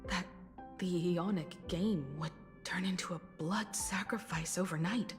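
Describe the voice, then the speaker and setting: An actress playing a calm, resolute grown daughter in a video game, composed and earnest. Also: female voice, on the low side, measured pace, music in the background, close to an everyday voice. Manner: composed, earnest, sometimes whispering.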